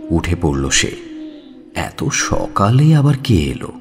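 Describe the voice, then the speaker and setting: A man's voice: low-pitched, very animated, slow pace. A man narrating a scripted audio story in a dramatic storytelling style.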